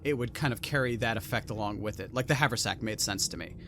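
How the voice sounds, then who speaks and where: A man running a tabletop game as game master, describing scenes in a relaxed, rambling way with many fillers. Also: fairly low pitch, fast, a little hiss or hum.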